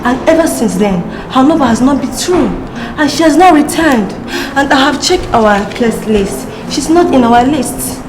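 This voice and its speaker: A polite hotel receptionist. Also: female voice, high-pitched, animated, normal speed, roomy sound, music in the background. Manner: polite, formal.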